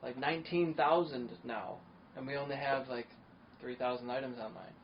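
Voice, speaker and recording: A man's voice: mid-range voice, fairly even intonation, moderate pace. A man hosting a podcast, talking in a rambling, enthusiastic casual way. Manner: rambling, enthusiastic, many fillers. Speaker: podcast host. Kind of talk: casual chat. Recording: dull lo-fi sound with faint noise.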